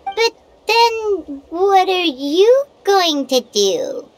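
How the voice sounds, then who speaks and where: A woman voicing a tiny, nosy, excitable childlike fairy companion in a video game, exclaiming as she talks. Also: very high-pitched, drawn-out words, slight background noise, full character voice. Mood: questioning, wary. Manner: refers to self in third person, exclamatory.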